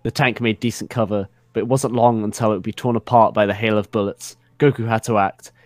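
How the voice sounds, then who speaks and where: A man's voice: on the low side, fairly even intonation, a little fast, no echo. A man narrating a comedic dramatic reading of fan fiction in a mock-serious storytelling manner.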